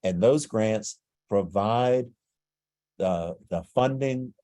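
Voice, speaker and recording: Man, stuffy nasal voice, webinar presenter, steady explaining, dry close sound. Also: fairly low pitch, drawn-out words, no room sound.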